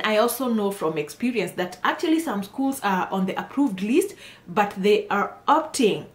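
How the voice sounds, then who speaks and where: Woman, mid-pitched voice, informal explainer, emphatic, encouraging, repeated phrases for stress, roomy echoing recording. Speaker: immigration consultant host. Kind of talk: informal explainer addressed to viewers.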